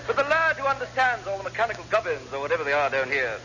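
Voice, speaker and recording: An actor playing a cheerfully apologetic, blithely incompetent naval lieutenant who rambles and repeats himself in an old radio sitcom. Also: male voice, high-pitched, sing-song, rapid-fire, bright, forceful tone, highs cut off, exaggerated voice. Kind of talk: classic radio sitcom acting. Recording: noisy old radio comedy recording.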